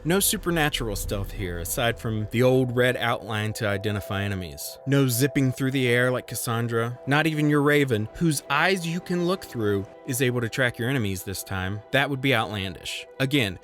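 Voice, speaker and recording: A man's voice: mid-range voice, lively intonation, normal speed, hardly any pauses, fairly bright tone, faint music behind. Dry-witted man narrating a game review. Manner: dry, self-deprecating humor with sarcastic asides.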